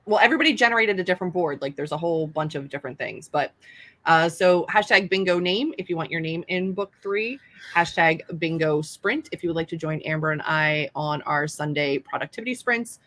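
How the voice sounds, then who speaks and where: A woman's voice: low-pitched, a little fast, fairly bright tone. A young adult woman, an author hosting a casual live stream, chatting in a self-deprecating way with lots of fillers.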